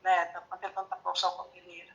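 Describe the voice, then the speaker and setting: A woman's voice: on the low side, monotone, fast talker, gravelly, a loud crowd. A woman in her forties with a Rio de Janeiro Brazilian accent, chatting casually as a guest on a live stream over a phone. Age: 40s. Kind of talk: casual chat.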